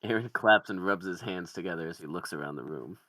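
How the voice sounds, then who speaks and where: Low-voiced man narrating a script. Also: a little fast, pushed and bright, no echo. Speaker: narrator reading stage directions. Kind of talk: scripted narration at a film table read.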